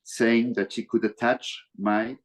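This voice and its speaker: An older man from Switzerland with a Swiss accent, a guest answering interview questions formally and earnestly on a video call, pausing often with fillers. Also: mid-range voice, flat delivery.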